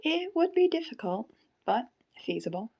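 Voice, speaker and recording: Audio drama role , female actor: shrewd robot companion, warm mellow timbre, deadpan teasing, sceptical reasoning. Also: sits high, moderate pace, husky, exaggerated voice.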